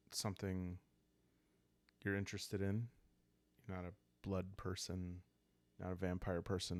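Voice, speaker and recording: A man calmly narrating a solo roleplaying game in an ASMR style, soft and halting as he thinks aloud. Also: low voice, no echo. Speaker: host and solo player of a journaling roleplaying game.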